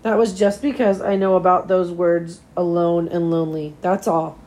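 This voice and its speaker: A slightly hoarse woman voicing an unsure, self-doubting young schoolgirl from a children's storybook, with hesitant replies. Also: on the low side, flat delivery, moderate pace, a little mellow, lightly acted.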